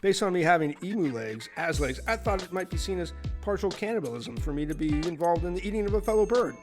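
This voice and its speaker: A man's voice: high voice, lively intonation, quick pace, faint music behind. A man playing a mock-official, excuse-making castaway reading a statement in a comic radio play.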